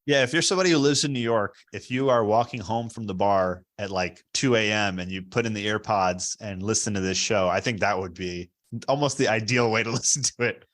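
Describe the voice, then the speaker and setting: A man's voice: lowish voice, a little fast, a bit bright. An American man hosting a casual interview, talking in a reflective, explanatory way. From Baltimore, US East Coast. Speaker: podcast host, producer.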